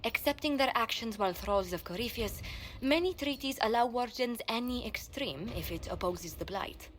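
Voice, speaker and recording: An actress playing a poised court adviser in a fantasy video game, speaking in a formal, official manner. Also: female voice, on the high side, animated, normal speed, slight background noise, natural voice. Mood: solemn, official.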